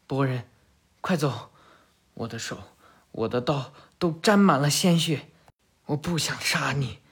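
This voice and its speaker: A man voicing a young male swordsman who speaks quietly and sounds resigned. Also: medium pitch, animated, a little slow, slightly rough, heavily acted.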